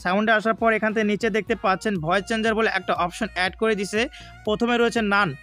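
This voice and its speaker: A man's voice: high-pitched, fairly even intonation, rapid-fire, sharp tone. A man with a Bangladeshi accent narrating a gaming tutorial step by step, often repeating his points. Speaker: gaming YouTuber. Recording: faint background music. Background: music.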